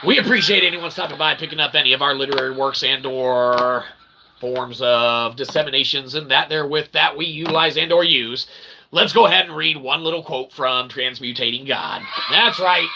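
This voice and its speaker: A man hosting a philosophy monologue, speaking in wordy pseudo-academic phrases with slangy asides and a gravelly, creaky voice. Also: mid-range voice, normal speed, noisy background. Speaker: host of an independent philosophy show.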